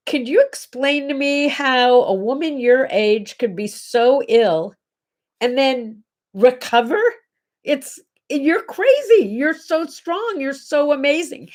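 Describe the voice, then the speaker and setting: Woman host, stuffy nasal voice, middle-aged, chatty, scattered, home webcam. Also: on the high side. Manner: chatty, scattered, addresses viewers directly. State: congested, nasal. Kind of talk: casual morning livestream chat. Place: home bedroom.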